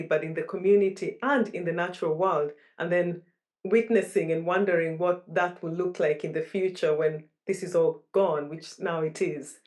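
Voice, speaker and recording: A woman talks about her upbringing in a reflective, unhurried way. She often stops and restarts mid-phrase as she searches for words, which gives her speech a considered, searching quality. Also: on the low side, darkish tone. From Kenya. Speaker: guest. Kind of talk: reflective interview talk.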